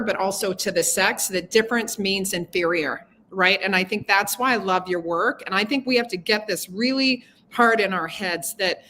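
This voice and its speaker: Bright-voiced middle-aged woman hosting. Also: medium pitch, slight background noise. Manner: reflective, warm-toned, with frequent restarts. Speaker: host. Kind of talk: online live conversation. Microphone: video call.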